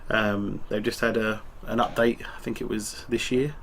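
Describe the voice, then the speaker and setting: A man's voice: on the low side, moderate pace, vocal fry, a little hiss or hum. A man with a cold and a stuffy nose, hosting a wargaming hobby channel and chatting casually with lots of ums. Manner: relaxed, rambling, full of ums. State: congested with a cold. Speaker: tabletop wargaming channel host. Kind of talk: casual hobby show-and-tell.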